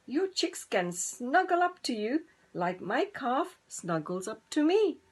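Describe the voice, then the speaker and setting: A gentle mother cow from a storybook, voiced by a woman, with raised, lively pitch. She is nurturing and emphatic. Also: slow, husky, exaggerated voice. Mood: warm, approving. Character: gentle, motherly cow, proud of her calf. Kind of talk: children's storybook character voice.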